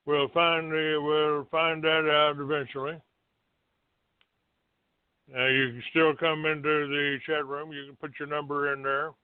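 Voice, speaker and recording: A male talk show host speaks slowly in a smooth, clear voice, halting as he grumbles through his monologue and reads out notices bluntly. The sound is thin and narrow like a telephone, with a roomy echo around him. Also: fairly high pitch, flat delivery. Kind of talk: rambling monologue, reading out a written notice.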